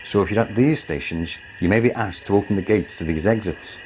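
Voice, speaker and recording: A man acts as an experienced station supervisor in a staff training film, speaking in a low voice. He instructs a new recruit calmly and methodically, in a scripted way. Loud ambient noise of an urban site sits behind him. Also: a little fast, close to an everyday voice. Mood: instructive. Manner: methodical, checks understanding.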